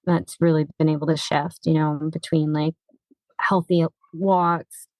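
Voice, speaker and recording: A woman hosting a casual podcast conversation, speaking haltingly and searching for her words. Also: deep voice, little pitch movement, darkish tone, no echo, low-quality recording. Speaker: podcast host.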